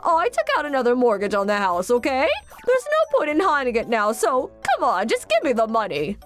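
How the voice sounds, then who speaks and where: A woman's voice: high-pitched, wildly swooping pitch, brisk, soft background music, voice pushed up. A woman voicing a brazen, whiny middle-aged sister-in-law in a manga dub, defensive, snippy and demanding. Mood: shameless pleading. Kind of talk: exaggerated manga dub acting.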